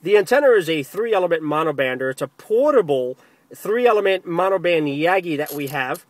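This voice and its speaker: Higher-pitched man on ham radio. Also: animated, normal speed, dry recording. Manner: repeats phrases for emphasis. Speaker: amateur radio operator. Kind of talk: ham radio contact, spoken to camera and over the air. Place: outdoors in the field.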